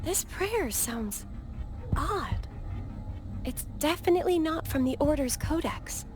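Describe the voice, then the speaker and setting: An earnest young acolyte girl, high and very animated in pitch. She is courteous and devout, a video game heroine with music playing clearly behind her. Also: slow, heavily acted. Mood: puzzled. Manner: earnest, polite.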